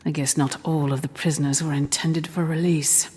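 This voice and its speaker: A woman's voice: deep voice, little pitch movement, moderate pace, dark tone, breathy edge. An actress playing a curious explorer in a video game, musing aloud as she observes, in a long echo. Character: curious, musing female explorer of ancient ruins. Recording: long echoing hall reverb.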